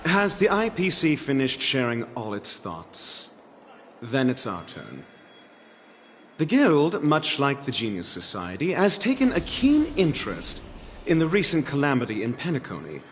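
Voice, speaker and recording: A man's voice: medium pitch, huge pitch swings, unhurried, a little hiss or hum. A man playing a self-assured, theatrical scholar envoy in a video game, with a pompous, polished way of talking. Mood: confident, haughty. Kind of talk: scripted game dialogue. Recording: long hall-like reverb.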